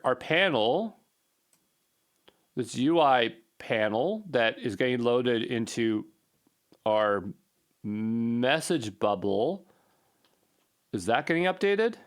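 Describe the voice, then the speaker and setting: A man's voice: medium pitch, animated, slow pace, long pauses, a bit bright. A man talking informally to himself as he works through code in a casual screencast, muttering as he troubleshoots. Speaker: programmer recording a coding session. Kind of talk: casual think-aloud screencast narration.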